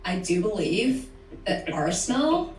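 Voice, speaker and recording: A woman speaking slowly and hesitantly off to the side. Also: medium pitch, very animated, slight background noise. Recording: roomy, distant mic.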